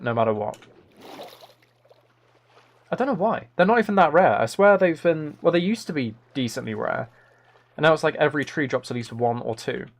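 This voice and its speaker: A man chatting casually to viewers as a live game streamer, with a dry, joking, mock-serious manner. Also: medium pitch. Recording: clear noise, keyboard and mouse clicks.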